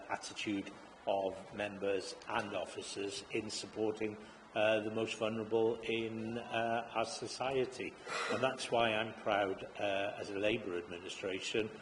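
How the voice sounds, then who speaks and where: A man speaking as a councillor in a council chamber, earnest and full of hesitant uhs. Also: on the low side. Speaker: councillor, executive member for education. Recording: long chamber reverb, faint hum.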